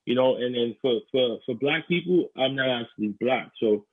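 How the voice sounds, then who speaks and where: A man's voice: mid-range voice, moderate pace. Young new york guy hosting, earnest, lotta "you know", sounds like a phone call. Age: young adult. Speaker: podcast host. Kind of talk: podcast conversation. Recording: tinny phone-like line.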